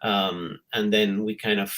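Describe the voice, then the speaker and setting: A man's voice: lowish voice, fairly even intonation, unhurried, fairly bright tone. A middle-aged man from Peru, a guest in a casual interview, with a dry joking manner.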